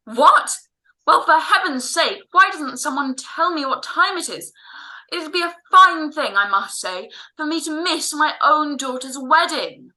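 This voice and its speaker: A man's voice: very high-pitched, animated, a little slow, sharp tone, heavily acted, rough recording. An actor playing a fussy, tender-hearted father in an old-time radio drama, blustering and stammering over his words. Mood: blustering, exasperated. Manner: blustering, hesitant with stammered repeats. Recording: online home recording.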